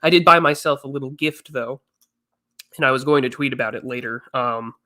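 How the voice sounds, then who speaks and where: A man's voice: mid-range voice, a little fast, sharp tone. A man in his twenties on a casual livestream, chatting and answering viewers, still a little congested. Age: 20s. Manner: chatty, reads chat messages aloud. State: slightly congested. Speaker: streamer.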